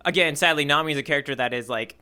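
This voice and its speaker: A man's voice: on the high side, very fast, bright, forceful tone, clear, resonant tone. A man co-hosting a podcast, chatting casually about manga in a rambling, joking way. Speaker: podcast co-host.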